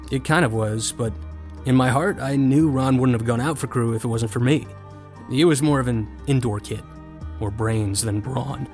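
A man's voice: mid-range voice, natural voice. An actor playing a privileged, wry young male college student who narrates and talks in an audio drama, casual and dry. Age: young adult.

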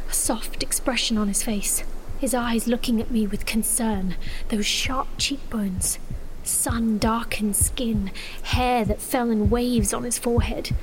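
A woman playing a sheltered young sorceress, anxious and stubborn, in an intimate, breathy dramatized first-person fantasy story. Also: on the high side, a little hiss or hum, low-quality recording. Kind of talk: dramatized first-person audio fiction narration.

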